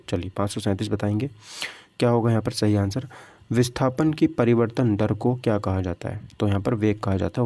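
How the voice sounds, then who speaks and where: A man's voice: on the low side, warm tone, no echo. A man teaching a lesson through practice questions, repeating himself and answering his own questions. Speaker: physics teacher for exam coaching.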